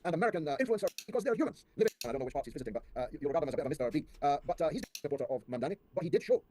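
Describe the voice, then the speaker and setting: A man's voice: on the high side, animated, very fast, mellow, a little hiss or hum. An older man giving political commentary as an interview guest, speaking emphatically with rhetorical lists and questions.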